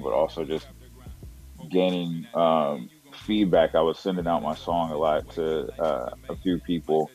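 A man with a very deep voice, talking casually as an interview guest about his music, full of "you know" and "like". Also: dark tone, rough recording. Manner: rambling, filler-heavy with "you know" and "like".

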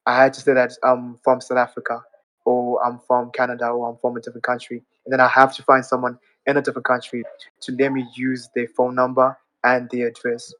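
A man's voice: medium pitch, fairly bright tone, no room sound, low-quality recording. A man, earnestly explaining things step by step in a casual live audio chat. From Zimbabwe. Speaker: audience speaker.

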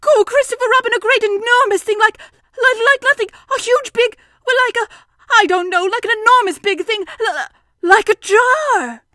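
A woman voicing a small, timid male piglet from a storybook, anxious and excitable, with breathless stammering. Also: very high-pitched, normal speed, dry recording, clean studio sound, voice pushed up.

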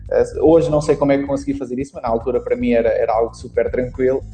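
A young man with a European Portuguese accent tells his life story at length in a podcast interview. His voice is very dark and mellow. A faint bed of music sits under him. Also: medium pitch, level tone, rapid-fire. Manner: long rambling storytelling. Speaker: guest, marketing instructor.

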